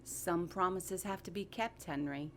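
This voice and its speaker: A woman's voice: lowish voice, a little slow, lightly acted. A woman voicing a sly, sardonic and pushy magical sidekick to a magician in an audio drama. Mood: stern. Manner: teasing, sardonic.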